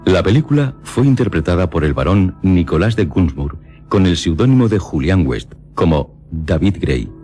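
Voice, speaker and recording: A man reading scripted radio narration in a solemn, measured storytelling manner. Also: deep voice, lively intonation, warm tone. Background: music behind the voice.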